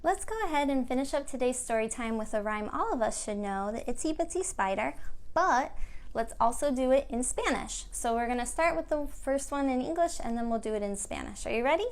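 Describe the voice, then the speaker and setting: A woman's voice: sits high, lively intonation, fairly quick. A woman hosting a storytime for young children, giving simple, encouraging instructions as a children's librarian. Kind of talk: children's storytime with songs and rhymes.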